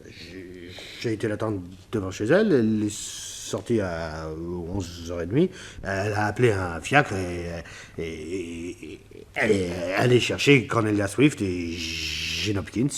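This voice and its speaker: A man's voice: deep voice, measured pace, slightly rough. An actor playing a nervous, sheepish man in a detective radio drama, stammering and repeating his words as he hesitates. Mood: sheepish, hesitant.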